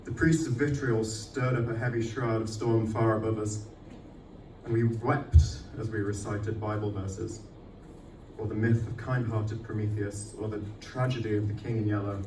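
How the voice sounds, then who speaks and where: A man's voice: lowish voice, little pitch movement, normal speed. Man performing poetry, echoey and distant. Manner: sweary, self-deprecating. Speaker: poet performing own work. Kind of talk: live poetry reading with casual banter. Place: indoor arts night venue, live event. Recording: echoey live venue, distant mic, faint noise.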